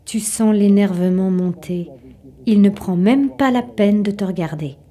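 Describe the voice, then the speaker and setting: Female narrator, dark mellow tone, literary second-person audio drama storytelling. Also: medium pitch, unhurried.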